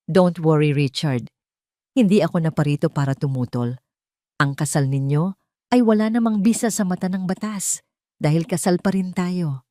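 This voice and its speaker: A woman's voice: on the low side, normal speed, dry recording, clean studio sound, lightly acted. Woman voicing a composed, determined wronged wife. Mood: coolly defiant. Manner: calm, clear, commanding.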